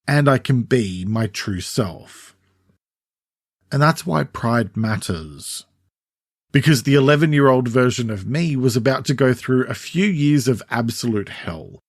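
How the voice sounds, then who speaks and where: A middle-aged man with an Australian accent hosting a scripted solo podcast in a measured, deliberate, conversational way. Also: mid-range voice, no room sound, studio quality. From Sydney, Australia. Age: 40s or 50s. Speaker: podcast host, mental health counsellor.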